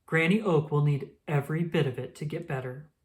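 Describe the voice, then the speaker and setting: A woman voicing a caring but firm mother squirrel in a storybook, gentle and encouraging. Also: sits low, brisk, natural voice. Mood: cautioning.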